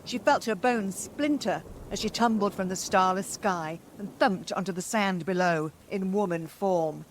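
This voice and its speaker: Female storyteller-host, medium pitch, expressive and theatrical reading, recorded with clear background noise. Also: measured pace, hardly any pauses, rough recording. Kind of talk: dramatic scripted story narration.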